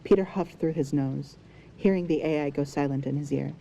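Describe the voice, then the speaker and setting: Dark, low-voiced woman narrating. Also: brisk, gravelly. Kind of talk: scripted fan fiction narration. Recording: faint background noise and ambience.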